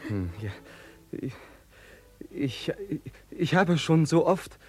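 An actor playing a bashful, eager young courtier with a hesitant, formal way of speaking in a German radio drama. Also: male voice, fairly high pitch, sing-song, takes it slowly. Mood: hesitant, earnest. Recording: prominent music under the voice. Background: music.

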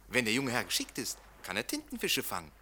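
Bright, swooping boatman guide. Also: medium pitch, huge pitch swings, brisk, a little hiss or hum, heavily acted. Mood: brisk, coaxing. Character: worldly boatman and grotto guide, coaxing, servile yet commanding. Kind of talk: German radio play acting.

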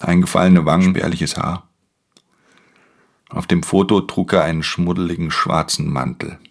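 A man playing a world-weary, guarded pickpocket who narrates a radio crime drama in an intimate, gravelly voice. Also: low-pitched, fairly even intonation, normal speed. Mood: brooding, tense.

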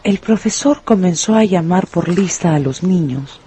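A man's voice: high voice, lively intonation, moderate pace, dark tone, slight background noise. A man narrating a scripted story dramatically for radio. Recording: music behind the voice.

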